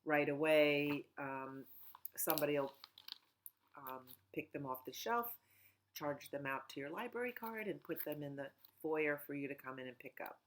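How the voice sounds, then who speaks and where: A middle-aged woman at home, a children's librarian talking gently and in a sing-song way during a storytime. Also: low voice, normal speed, a little hiss or hum. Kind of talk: storytime reading and chat for children.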